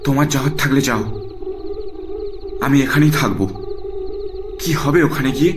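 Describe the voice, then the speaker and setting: A man's voice: medium pitch, moderate pace, lightly acted. A man playing a stubborn, fearless young man in a horror cartoon, speaking in a firm, serious, challenging way. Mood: resolute. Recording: music behind the voice.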